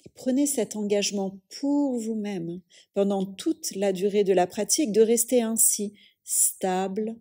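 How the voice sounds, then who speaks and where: A woman guides a yoga nidra relaxation in a calm, soothing manner. Her voice is mellow and relaxed, at a medium pitch. She speaks very slowly, in measured phrases with long pauses between them.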